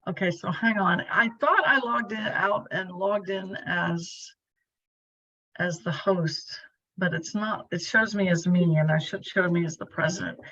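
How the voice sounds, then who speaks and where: Husky middle-aged woman on a dull video call. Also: lowish voice, a little slow, a bit bright. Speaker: club meeting host, filling in for the president. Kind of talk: casual pre-meeting chat on a video call. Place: bedroom used as a home office.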